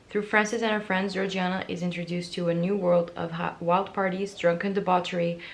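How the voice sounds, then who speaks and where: A young woman vlogging casually about books, her voice raspy and hoarse from yelling, chatty and self-mocking, with little stumbles and repeated words. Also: fairly low pitch. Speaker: book vlogger. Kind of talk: casual vlog chat.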